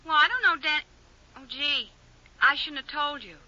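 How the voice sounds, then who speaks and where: An actress playing a naive, gossipy dame with slangy, uneducated speech, in 1950s radio crime drama. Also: female voice, high-pitched, normal speed, pushed and bright. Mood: chatty, flustered. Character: naive, gossipy dame who blurts secrets. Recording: muffled old-time radio recording.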